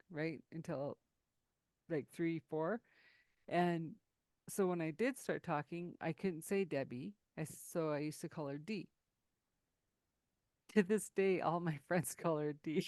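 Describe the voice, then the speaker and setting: A woman hosting a podcast, chatting casually and telling stories in an animated way, laughing often. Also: lowish voice, a little slow, dry recording, clean studio sound. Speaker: podcast host.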